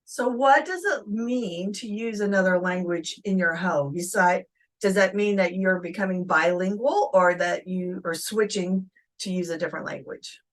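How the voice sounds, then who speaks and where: A woman's voice: fairly low pitch, moderate pace. A woman speaking as the host of an interview, introducing and questioning her guest in a conversational style.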